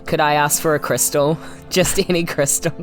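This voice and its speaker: A New Zealand woman plays a tabletop role-playing game. She has a very low voice for a woman and talks in casual banter with the other players. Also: flat delivery, moderate pace, soft background music.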